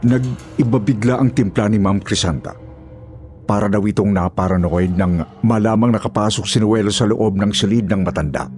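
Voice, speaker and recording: A man's voice: lowish voice, normal speed, a little mellow, faint music behind. A man reading scripted, dramatic narration as a horror story narrator.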